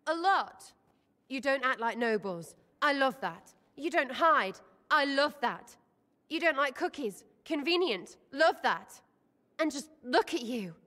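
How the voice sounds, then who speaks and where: A young female elf rebel in a fantasy video game, fairly high in pitch with an English accent. She is brash, emotional and irreverent, talking in blunt, cheeky slang. Her voice rings with long hall reverb. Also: expressive, unhurried, pushed and bright, exaggerated voice. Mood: upset, pleading. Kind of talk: video game cutscene acting.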